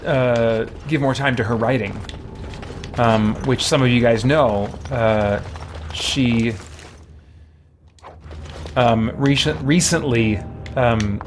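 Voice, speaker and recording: A man's voice: medium pitch, takes it slowly, long pauses. Man chatting over noticeable background noise. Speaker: video game livestream host. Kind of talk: casual gameplay chat, answering viewer questions. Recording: clearly noisy background.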